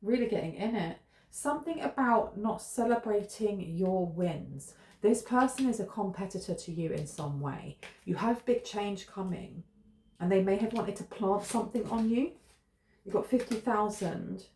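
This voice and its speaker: A woman's voice: mid-range voice, expressive, normal speed, darkish tone. A British woman giving a casual tarot reading to camera, thinking aloud in a meandering, musing way with fillers. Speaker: tarot reader. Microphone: camera close in front. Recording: echoey room.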